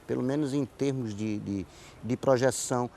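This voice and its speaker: Male interview guest, relaxed dark timbre, Northeastern Brazilian accent, conversational storyteller who restarts and repeats for emphasis. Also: mid-range voice, normal speed, creaky, slight background noise. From Paraíba, Brazil. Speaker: interview guest, writer and playwright. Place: TV studio.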